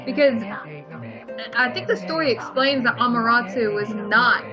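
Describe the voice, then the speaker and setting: A woman with a fairly high voice comments casually on a video game as a co-host. The recording is a little dull and lo-fi, with clear game music underneath. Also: normal speed. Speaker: let's play co-host.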